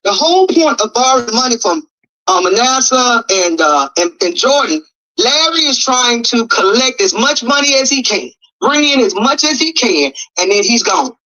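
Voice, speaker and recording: A woman's voice: fairly high pitch, very animated, moderate pace, sharp tone. A woman giving blunt, humorous commentary in a clip that is being played back. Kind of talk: casual commentary. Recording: dull lo-fi clip audio.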